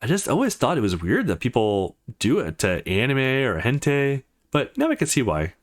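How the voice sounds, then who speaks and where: Visual novel roommate, played by a man: teasing young woman, highly varied intonation. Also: big pitch swings, normal speed, lightly acted. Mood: thoughtful. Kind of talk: reading visual novel dialogue.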